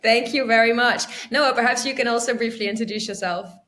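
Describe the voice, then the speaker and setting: A woman hosting a debate podcast, clear and organized, reading out prepared background before each statement. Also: on the high side, a little fast, a bit bright. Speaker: podcast host.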